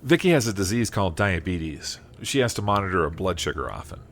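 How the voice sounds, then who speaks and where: A man's voice: low voice, big pitch swings, a little fast, fairly bright tone, slight background noise, exaggerated voice. A man playing a cautious, plain-spoken farmer and family man in an audio drama, hesitant but direct. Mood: friendly.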